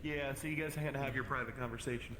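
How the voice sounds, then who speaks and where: A man's voice: mid-range voice, fairly even intonation, quick pace, fairly bright tone. A man chatting casually as a player in an online roleplay game, on a VR headset mic. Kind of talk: casual roleplay banter in VRChat. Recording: roomy echo, faint background noise.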